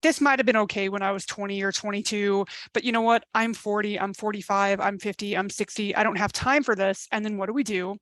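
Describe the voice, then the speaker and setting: A middle-aged woman coaching singles in a live online masterclass, talking in a chatty, emphatic way and slipping into quoted complaints. Her pitch sits in a middle range. The sound is dry and close, with no room around it. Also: fairly even intonation, a little fast, few pauses, a bit bright, no room sound. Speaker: dating coach.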